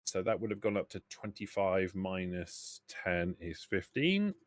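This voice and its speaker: A man narrating a tabletop game as game master, haltingly, often repeating words as he thinks. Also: low voice, big pitch swings, no room sound.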